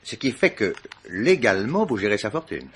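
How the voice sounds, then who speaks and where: Dry insistent police inspector in a radio mystery, lively pitch swings, lowish husky voice. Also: male voice, exaggerated voice. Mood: probing, suspicious. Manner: formal, insistent questioning with deliberate hesitations. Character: probing male police commissioner questioning witnesses, dry and persistent. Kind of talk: French radio mystery play acting.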